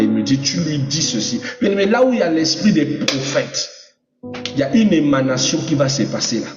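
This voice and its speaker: A man preaches with fervour, his voice climbing to emphatic peaks as he addresses the congregation. He sounds distant from the mic, and the dull recording carries a long hall reverb over steady music. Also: a little high. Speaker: apostle preaching. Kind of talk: sermon teaching with personal testimony.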